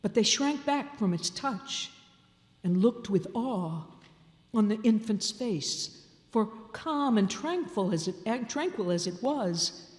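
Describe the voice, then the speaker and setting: A woman's voice: fairly high pitch, slow. An older woman giving a theatrical live reading of a classic tale on a theater stage. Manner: expressive, theatrical narration. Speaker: storyteller. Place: large theater hall on stage. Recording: echoey hall with long reverb.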